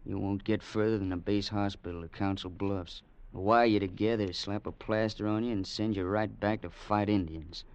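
An actor playing a dour, blunt soldier in a 1940s radio drama. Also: male voice, on the low side, moderate pace, few pauses, background noise, lightly acted. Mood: blunt, sardonic. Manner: flat, blunt.

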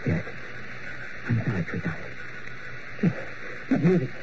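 A man playing an unhinged young male ballet dancer in a 1940s radio drama, speaking softly and dreamily. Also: medium pitch, very animated, slow, vocal fry, heavily acted. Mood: haunted. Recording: muffled old radio broadcast with hiss.

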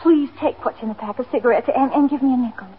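A woman's voice: sits high, fast talker, breathy edge. An actress playing an anxious, embarrassed but proud woman, hesitant and pleading, in a 1950s radio drama. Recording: tinny, noisy 1950s radio broadcast.

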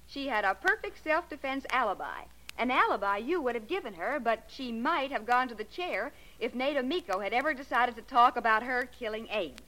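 An actress playing an eager, adoring American secretary to a detective, perky and deferential in 1940s radio drama style. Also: female voice, a little high, animated, a little fast, few pauses, pushed and bright, rough recording. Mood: reading notes.

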